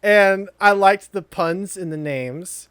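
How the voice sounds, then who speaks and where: A man's voice: high-pitched, slow pace, fairly bright tone, smooth, dry recording, clean studio sound. A man chatting casually and playfully as a let's play co-host, rambling as he reacts. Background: game music.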